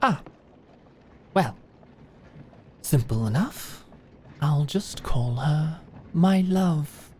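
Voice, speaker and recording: Woman doing a teasing lady knight, deep voice, dry humour, medieval roleplay, some ambience behind. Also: animated, drawn-out words, long pauses, darkish tone, a little hiss or hum, heavily acted. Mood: teasing, affectionate. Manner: dry, sardonic, playful. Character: wry, teasing lady knight of the royal court. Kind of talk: medieval fantasy audio roleplay acting.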